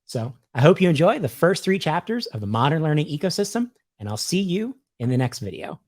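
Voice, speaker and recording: Male author-presenter, animated intonation, wry humor, scripted read-along style with deliberate dramatic pauses. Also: fairly high pitch. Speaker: author and host of a read-along book series.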